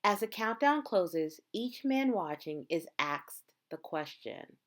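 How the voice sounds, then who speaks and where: Slow, expressive woman reading a storybook aloud. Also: on the low side. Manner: measured, dramatic phrasing with emphasized words. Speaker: teacher reading a picture book aloud to students.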